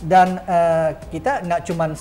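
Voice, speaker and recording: A man's voice: a little high, flat delivery, measured pace, darkish tone. A middle-aged man, a chef hosting his cooking show, explaining a technique in casual chat, full of "eh" fillers and tag questions.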